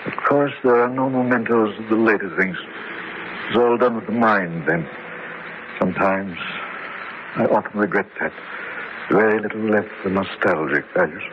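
An actor playing a proud, macabre gentleman sorcerer in a campy horror radio drama, speaking formally and grandly. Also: on the low side, normal speed, pauses often, close to an everyday voice. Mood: wistful, sinister. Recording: dull, faintly noisy old radio recording.

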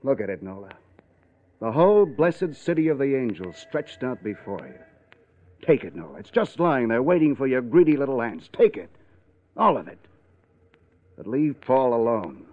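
A man's voice: mid-range voice, big pitch swings, moderate pace, long pauses, close to an everyday voice. Man acting a bitter columnist on old radio. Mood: bitter, taunting. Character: bitter, scornful male newspaper columnist confronting a woman. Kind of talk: 1940s radio drama acting. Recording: muffled narrow-band old radio recording.

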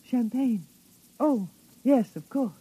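An actress playing a serene, youthful wife and gracious hostess in an old-time radio drama, gentle and formal. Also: female voice, fairly high pitch, lively intonation, slow pace, breathy edge, exaggerated voice, poor audio.

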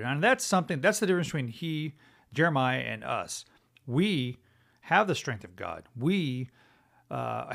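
A man's voice: medium pitch, gravelly, fairly bright tone. A middle-aged man hosting a Bible teaching podcast, talking conversationally and thinking aloud with many fillers and "right?" tag questions.

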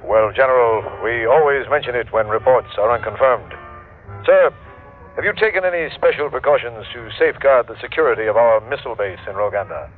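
A man's voice: mid-range voice, a little fast, faint music behind, natural voice. A man playing a persistent, polite news reporter in a formal interview within a classic radio drama. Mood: probing. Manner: formal, probing. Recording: dull old radio recording.

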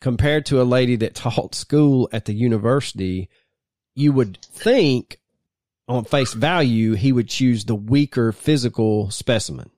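A man co-hosting a podcast, telling the details of a true crime case in a measured storytelling way. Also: mid-range voice, a little mellow, no echo, studio quality. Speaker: podcast co-host.

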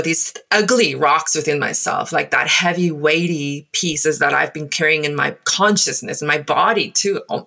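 A South African woman in her forties whose first language is Afrikaans, speaking in English and telling her own story in a thoughtful, halting way with many pauses and fillers. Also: low-pitched, vocal fry, pushed and bright. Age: 40s. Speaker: guest. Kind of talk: reflective personal storytelling.

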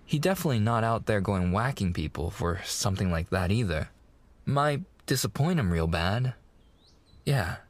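Man acting a low-voiced, earnest young mafia fiancé. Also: lively intonation, normal speed, dry recording. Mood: reassuring, protective. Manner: slangy wiseguy talk, hesitant, earnest, reassuring. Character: smitten young mafia man, earnest, protective, gently courting his fiancée. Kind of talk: boyfriend ASMR roleplay acting.

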